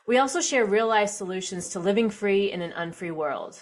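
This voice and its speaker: A woman hosting a podcast, reading her intro then backing her guest with steady affirmations. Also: mid-range voice, a little fast. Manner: affirming, frequent mm-hmms. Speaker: podcast host. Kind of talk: scripted intro then casual interview.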